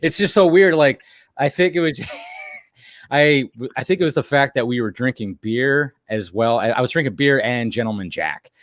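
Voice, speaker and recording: Male co-host, casual rambling commentary, mid pitch, a little rough and deep today. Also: normal speed, no echo, studio quality. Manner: rambling, laughs often. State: voice a little deeper than usual after a late night. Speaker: co-host of a watch-along stream.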